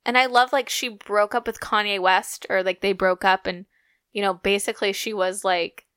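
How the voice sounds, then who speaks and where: Bright, chatty young woman co-host. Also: medium pitch, fairly even intonation, moderate pace, clear, resonant tone, no room sound, studio quality. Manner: gushing, laughs often, chatty. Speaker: podcast co-host. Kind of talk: casual chat.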